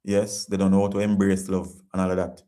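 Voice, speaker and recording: Jamaican man, live chat host, earnest, repetitive, lower voice, preachy. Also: level tone, a little fast, mellow. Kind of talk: casual live-stream talk reading viewer comments.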